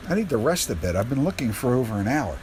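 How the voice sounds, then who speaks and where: An actor playing an earnest, grieving, easily agitated widower in a radio drama. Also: male voice, medium pitch, sing-song, fast, a little mellow, a little hiss or hum, close to an everyday voice. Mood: weary, annoyed.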